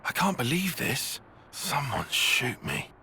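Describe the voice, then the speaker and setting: A man with a British accent voicing a dry, sceptical, bookish young soldier in a video game, measured and wry. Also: medium pitch, big pitch swings, vocal fry, bright, forceful tone, a little hiss or hum, full character voice. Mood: exasperated. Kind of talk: scripted video game voice acting.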